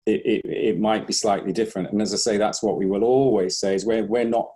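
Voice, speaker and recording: A man's voice: on the low side, steady tone, a little fast, mellow. A man, a breathing instructor, explaining carefully in a conversational interview and hedging his claims.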